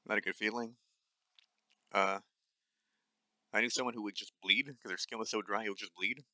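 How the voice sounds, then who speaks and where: A man's voice: lowish voice, steady tone, moderate pace, bright, forceful tone, no echo. A young adult man hosting his own nutrition podcast, speaking blunt and angry with a dry voice, swearing often and stumbling with fillers. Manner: many fillers and restarts. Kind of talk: solo ranting monologue.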